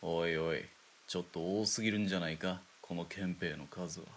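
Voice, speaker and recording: A man as a gruff, deep-voiced army sniper. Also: steady tone, normal speed, a little hiss or hum, lightly acted. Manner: gruff, rough, offhand.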